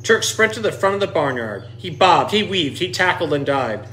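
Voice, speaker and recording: A man reads a children's storybook aloud with expressive, dramatic delivery. His voice sits a little higher than most men's. Some noise is audible behind him. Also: normal speed. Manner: expressive, dramatic dialogue readings. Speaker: storyteller reading a picture book aloud. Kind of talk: animated children's storybook reading.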